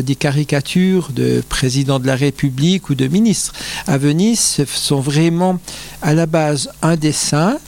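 Older male interviewee from the Vosges, slightly raised pitch, reflective storyteller, conversational and a bit hesitant. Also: vocal fry, darkish tone. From France, Vosges. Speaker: interview guest, carnival founder.

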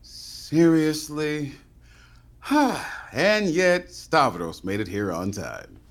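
An imperious male producer who talks down to everyone, played by an actor. His voice sits a little high and swings widely as he drips sarcasm. He is a comic villain in an audio drama. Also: very animated, extremely slow, exaggerated voice. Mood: sarcastic. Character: arrogant male producer, curt, sarcastic boss.

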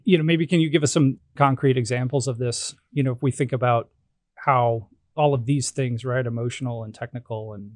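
A man's voice: medium pitch, a little mellow, no room sound, clean studio sound. A man hosting a podcast interview, talking casually with many "you know" fillers. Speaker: guest host.

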